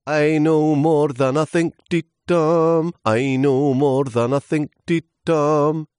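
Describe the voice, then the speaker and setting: A man's voice: high voice, sing-song, slow pace, darkish tone, dry recording, clean studio sound, pitched above the performer's own voice, heavily acted. A man voicing a small, naive and lonely autumn leaf in a children's story, with a hesitant, earnest way of talking. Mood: happy, excited.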